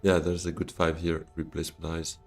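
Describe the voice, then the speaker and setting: A man's voice: sits low, completely flat delivery, fairly quick, gravelly, faint music behind. A Swiss man, streaming a board game live and thinking his moves through aloud to himself and his chat. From Switzerland. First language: French.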